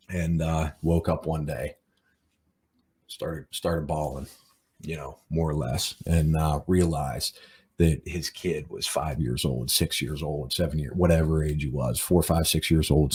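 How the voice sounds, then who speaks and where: Podcast host, man, forties, very low and husky, rambling delivery full of fillers. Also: mellow. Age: 40s. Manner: rambling, many fillers and repeated words. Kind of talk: casual reflective monologue.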